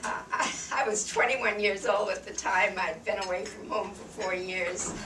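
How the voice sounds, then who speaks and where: A woman in her eighties from New Hampshire, a veteran telling her wartime memories to an audience, slightly croaky from a cold, wry and unhurried. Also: medium pitch, steady tone, a little hiss or hum. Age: 80s. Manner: slow, wry reminiscing with soft laughs and fillers. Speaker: veteran guest speaker. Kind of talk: personal war memories told to an audience. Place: room with an audience. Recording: echoey room from far off with clattering dishes.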